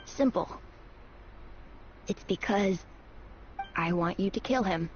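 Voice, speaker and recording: An actress playing an embittered, vengeful rebel of a fallen dynasty, sardonic and fervent, in a dramatic video game style. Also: female voice, medium pitch, slow pace, slight background noise, close to an everyday voice. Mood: cold, urging. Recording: dull game audio under loud music.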